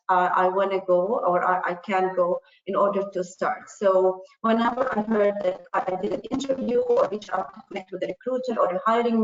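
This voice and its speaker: A woman whose first language is Arabic, speaking earnestly as a panelist with many fillers and restarts. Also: mid-range voice. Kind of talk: panel discussion. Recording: muffled, roomy.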